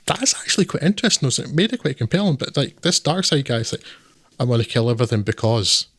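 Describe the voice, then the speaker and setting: A man's voice: medium pitch, big pitch swings, quick pace, breathy edge, no echo. A man co-hosting a podcast, chatting casually about films in a rambling, dismissive way with lots of fillers. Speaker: podcast co-host. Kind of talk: casual film review chat.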